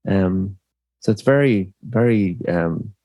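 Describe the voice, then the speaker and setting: A middle-aged Irish man with a very deep voice talks slowly through his thoughts, stopping and restarting often with fillers. The sound is dry and close, with no room around it. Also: dry recording, muffled recording. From Ireland. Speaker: musician guest. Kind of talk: reflective podcast interview.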